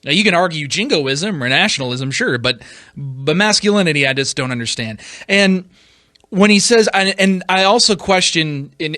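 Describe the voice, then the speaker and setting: A man giving opinion commentary as a podcast host, emphatic and argumentative, often repeating words haltingly and leaning on rhetorical questions. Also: fairly high pitch, pushed and bright, no echo, studio quality.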